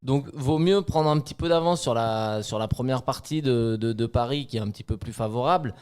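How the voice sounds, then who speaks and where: A man in his thirties with a resonant, clean voice at an ordinary pitch. He chats as a guest commentator, explaining in an informal, cumulative way and often restating his phrases. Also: brisk, a little mellow, smooth. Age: 30s. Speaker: podcast guest commenting on running. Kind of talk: casual chat, analytical commentary.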